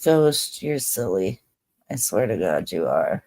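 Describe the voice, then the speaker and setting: A woman's voice: extremely low pitch, sing-song, slow, a little mellow. A woman in her thirties hosting a casual livestream chat, laid-back and chatty with many fillers. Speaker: livestream host.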